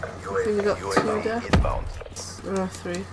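A woman talking casually over gameplay, soft-spoken and hesitant, with lots of ums and groans. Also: medium pitch, fairly even intonation, slow, gravelly. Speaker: gameplay commentator. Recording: loud background noise from the game. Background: game sounds.

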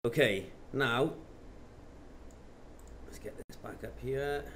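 A man's voice: medium pitch, takes it slowly. Man presenting, noticeable background noise. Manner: matter-of-fact, talking through steps as he clicks. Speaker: presenter of product tutorials. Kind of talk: informal software demonstration. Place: indoor office.

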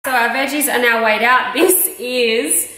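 Aussie woman in her 30s doing a casual kitchen vlog, highish voice, roomy echo. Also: a little slow, loud music behind the voice. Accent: Australian. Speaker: health vlogger. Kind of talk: casual cooking demonstration to camera. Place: home kitchen, indoors. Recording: echoey kitchen with background noise.

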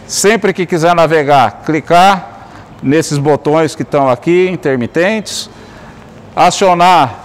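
Man, middle-aged, executive, step-by-step demo, steady explanatory tone, somewhat high voice, faint background noise. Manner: steady explanatory tone, narrating each click. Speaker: company executive demonstrating a digital fair. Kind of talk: product walkthrough. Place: large indoor store.

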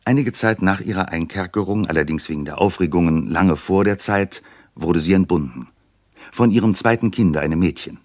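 An actor playing a composed narrator, telling a 1960s radio drama in a measured, literary manner. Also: male voice, low voice, no echo. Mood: composed, grave. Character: composed storyteller narrator of a royal tragedy.

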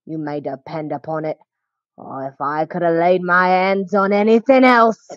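A woman's voice: on the low side, steady tone, moderate pace, no echo, lo-fi sound, close to an everyday voice. A woman playing a blunt, spiteful charwoman who steals, in a radio play. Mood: spiteful, defiant. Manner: blunt, defiant. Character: brazen, spiteful working-class charwoman and thief.

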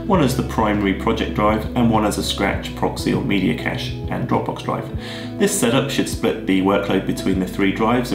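A man, husky from a head cold, walking through his tech choices in a steady, methodical way. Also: lowish voice. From Australia. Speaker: video creator. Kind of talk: scripted tech vlog explanation. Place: plain room indoors, seated at a desk. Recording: roomy echo with music behind.

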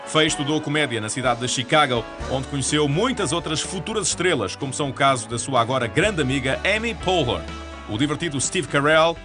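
Bright-voiced European Portuguese male announcer. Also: a little high. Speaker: channel announcer. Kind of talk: scripted promo narration. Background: music bed.

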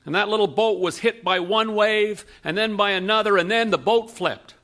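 A middle-aged man narrating a true story on a theatre stage, measured and dramatic with pauses, into a stand mic at a lectern. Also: high voice, bright, forceful tone. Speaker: radio storyteller and host.

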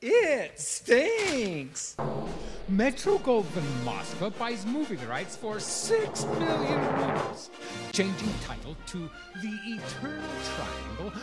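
Hammy Russian mathematician over guitar. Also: male voice, high-pitched, wildly swooping pitch, slow, full character voice. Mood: boastful. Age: older. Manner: theatrical, mock-solemn, hammy. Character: gleeful plagiarizing Russian mathematician, a comic rogue. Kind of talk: comic patter song, half sung, half spoken. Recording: loud acoustic guitar accompaniment.

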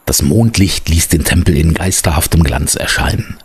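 A man narrating an adventure radio play in a measured storybook manner. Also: very deep voice, slightly rough, no room sound, heavily acted. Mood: measured storytelling. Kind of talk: scripted audio drama narration.

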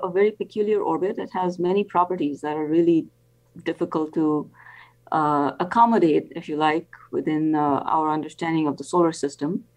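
An older woman speaks in a fairly low voice as an expert interview guest on a video call. She explains carefully and haltingly, pausing often as she builds each answer. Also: a little mellow, poor audio. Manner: halting, careful, frequent pauses. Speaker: interview guest, professor and planetary scientist.

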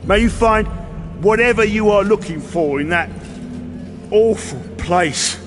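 An actor playing a weary, blunt mercenary captain in an audio drama, plain-spoken and used to command. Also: male voice, sits high, measured pace, music in the background. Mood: wary, resigned.

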